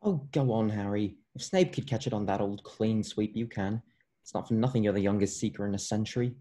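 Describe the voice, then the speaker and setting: A man's voice: on the low side, brisk, warm tone, lightly acted. A man playing a wry, practical, loyal schoolboy wizard in a screenplay table read.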